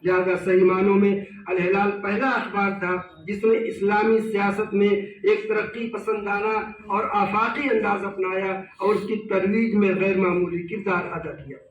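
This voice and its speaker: A man's voice: sits high, level tone, moderate pace, few pauses, recorded from a distance, muffled recording, slight background noise. A man reading a prepared seminar paper in formal, ornate Urdu diction. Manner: formal, heavy Persian-Arabic Urdu diction. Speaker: seminar speaker. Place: seminar hall. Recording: echoey hall recorded from afar.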